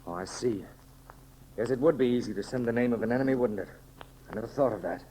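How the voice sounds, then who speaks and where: Actor as anguished radio hero, noisy old recording. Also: male voice, mid-range voice, dark tone, lightly acted. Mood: solemn. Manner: earnest, halting, melodramatic. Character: noble, anguished young adventurer hero turned emperor. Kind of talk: 1930s radio serial acting.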